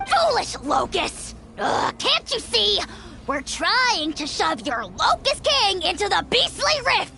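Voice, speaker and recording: A woman voicing a tiny, cocky male dragon sidekick who hurls shrill insults in a video game. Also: huge pitch swings, slow pace, creaky, bright, forceful tone, soft background music, full character voice. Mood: scornful.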